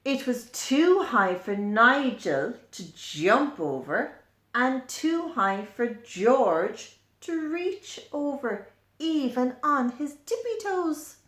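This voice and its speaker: A woman's voice: high voice, sing-song, takes it slowly, fairly bright tone. A woman reading a picture book aloud to young children, explaining and pointing things out as she goes. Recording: echoey room.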